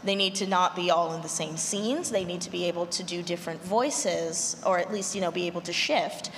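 Lowish-voiced woman in a noisy, reverberant hall. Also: steady tone, fairly quick. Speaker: panelist, audio drama producer. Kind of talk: panel discussion advice. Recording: echoey noisy hall with room ambience.

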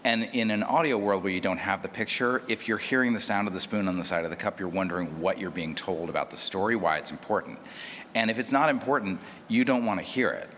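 A man with a very low voice talks thoughtfully as a producer on a panel. He feels his way through explanations, repeating small phrases. The hall rings with long reverb and there is clear background noise. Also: fast talker, a bit bright. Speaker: audio drama producer on a panel.

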